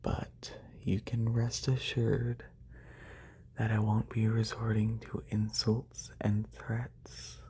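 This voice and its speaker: A man playing a haughty, wealthy lamia noble in a soft, breathy ASMR whisper, slow and deliberate, close to a binaural microphone. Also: fairly low pitch, completely flat delivery, a little hiss or hum. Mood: composed, condescending.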